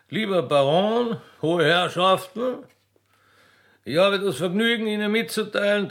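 A man's voice: on the high side, fairly bright tone, pitched above the performer's own voice. A man voicing a genial, easily flustered Austrian emperor in a satirical radio play, ceremonious and stumbling, with an Austrian accent. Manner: ceremonious, stumbling, repeating himself.

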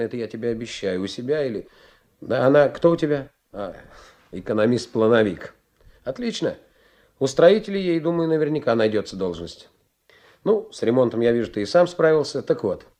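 An actor playing a benevolent, persuasive middle-aged senior naval officer in a radio play, talking in a measured, encouraging way with deliberate pauses. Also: male voice, mid-range voice, sing-song, darkish tone, close to an everyday voice.

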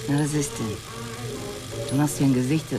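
A woman's voice: low-pitched, steady tone, normal speed, over loud music, lightly acted, rough recording. A woman acting in a German radio play as an easygoing, coaxing lover, teasing and offhand. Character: tipsy, easygoing, coaxing woman on a casual tryst.

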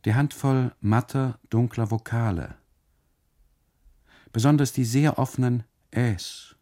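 A man narrating a literary radio monologue in the first person, deliberately, in short phrases broken by pauses. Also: mid-range voice, warm tone.

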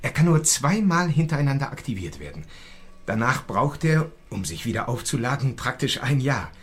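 An actor playing an earnest, restless scholar and expedition assistant, speaking politely in a radio drama. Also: male voice, medium pitch, sing-song, moderate pace, fairly bright tone. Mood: explaining.

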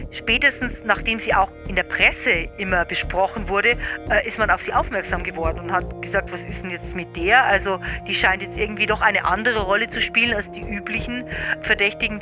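Female expert, unscripted and chatty explanations, mid pitch, clear music underneath. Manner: informal, colloquial. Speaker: expert commentator. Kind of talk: spontaneous explanatory interview talk.

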